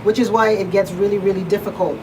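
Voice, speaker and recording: A woman talk show host chats casually in a medium-pitched voice. She is picked up from a distance, with clear noise around her. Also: steady tone, fast talker. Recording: distant mic, clearly noisy room.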